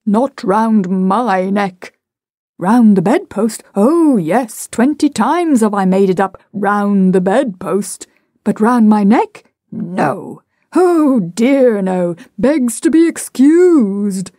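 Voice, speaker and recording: A woman's voice: fairly high pitch, measured pace, few pauses, dark tone, no room sound, clean studio sound, close to an everyday voice. A woman voicing a blustering, pompous Edwardian father who rants sarcastically in exasperation, in a storybook reading. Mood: exasperated.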